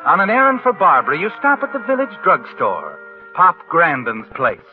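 A man's voice: on the high side, wildly swooping pitch, moderate pace. A man playing the ominous narrator of a 1940s radio thriller, telling the tale in an insinuating, suspenseful way with pointed questions. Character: ominous male narrator addressing the schemer as you. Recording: tinny old radio broadcast over music.

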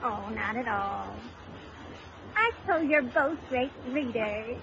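Here is a polite, proper lady librarian from a vintage radio comedy. Her high voice rises and falls animatedly with genteel precision, on a noisy old recording. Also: animated, slow, muffled recording. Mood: prim, polite. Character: prim, polite public librarian lady making a social call.

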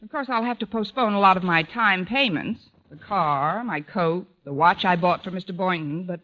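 An actress playing a wry, wisecracking English teacher with dry sarcastic quips in an old radio sitcom. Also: female voice, fairly low pitch, normal speed, a bit bright, highs cut off, close to an everyday voice. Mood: wry, breezy. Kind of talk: 1950s radio sitcom acting. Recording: noisy old radio broadcast recording.

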